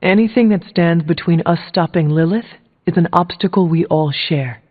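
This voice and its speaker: Formal warrior heroine played by an actress, phone-like sound. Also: female voice, fairly low pitch, moderate pace, natural voice. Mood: grave, resolute. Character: solemn supernatural warrior hero, game protagonist. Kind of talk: scripted video game dialogue. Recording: muffled phone-like band, music behind.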